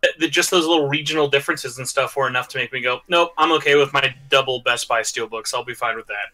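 Loud, animated man talking games. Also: medium pitch, fast talker. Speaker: podcast guest. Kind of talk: casual gaming chat.

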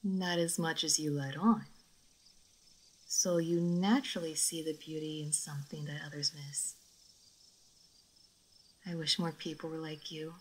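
Woman playing a slow-spoken, wistful vampire lady. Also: low-pitched, steady tone, a little hiss or hum, rough recording. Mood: wistful, reflective. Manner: soft, formal, wistful, sometimes near whispering. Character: centuries-old female vampire, gentle, melancholy lady of the manor. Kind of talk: intimate roleplay audio drama monologue.

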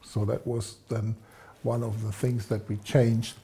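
A man in his sixties explaining technical matters in an interview, speaking in a measured, deliberate way. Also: lowish voice, flat delivery, warm tone. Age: 60s. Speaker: guest, loudspeaker designer. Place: studio set, seated indoors.

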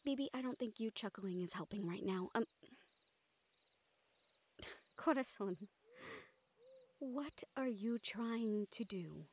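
A woman's voice: on the high side, animated, slow pace, long pauses, darkish tone, exaggerated voice. A woman playing an exasperated but caring siren in an audio roleplay, earnest and sighing, with Spanish endearments. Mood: puzzled, patient. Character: female siren-banshee, exasperated but caring partner and team mate.

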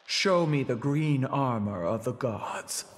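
An actor playing a stern, formal warrior in a fighting game, solemn and deliberate. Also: male voice, mid-range voice, expressive, long reverb tail, a little hiss or hum. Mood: solemn challenge. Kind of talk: video game character acting.

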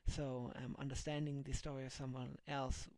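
A man's voice: mid-range voice, level tone, moderate pace, darkish tone, no room sound. A man in his thirties with a German accent, a native German speaker, chatting casually on his video blog, rambling with many fillers and restarts, recorded on a headset mic in a small room. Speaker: vlogger and comic translator. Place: small dim room indoors.

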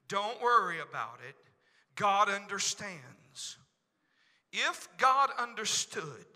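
High bright preacher in a reverberant sanctuary. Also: male voice. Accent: Oklahoma. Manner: swings from hushed to shouting. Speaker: pastor. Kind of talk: preaching a sermon. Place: large church sanctuary. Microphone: handheld microphone. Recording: long hall reverb in church.

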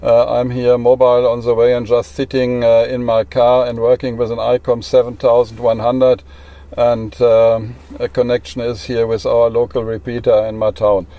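A man with a German accent works as an amateur radio operator. His voice is mellow and stays very even in pitch. He speaks courteously, with a halting delivery and phonetic spellings. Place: inside a parked car.

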